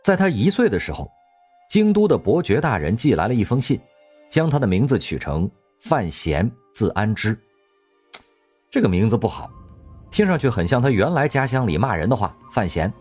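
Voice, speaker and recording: A man narrating an audio drama in a wry, expressive storytelling manner. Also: medium pitch, moderate pace, husky. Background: faint background music.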